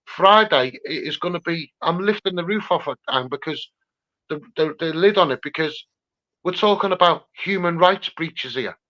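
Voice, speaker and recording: Scouse man, campaigner, fairly high sharp voice, insistent, rhetorical, impassioned protest talk, lo-fi sound. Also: moderate pace. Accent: Scouse (Liverpool). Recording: slightly dull, lo-fi sound.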